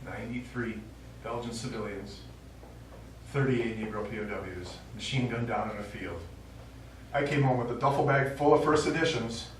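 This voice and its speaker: Actor as echoey, far-off old veteran reminiscing. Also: male voice, medium pitch, moderate pace, natural voice. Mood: grim recollection. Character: stubborn old American war veteran, reminiscing father. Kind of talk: stage play monologue. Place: small theater stage, recorded from the audience. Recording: distant, very echoey theater recording, faint noise.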